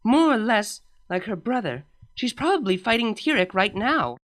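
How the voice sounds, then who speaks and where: A woman reading a fan story aloud, voicing a gentle, polite young pony princess who speaks a little hesitantly. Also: fairly high pitch, big pitch swings, moderate pace, faint music behind, voice pushed up. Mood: mild.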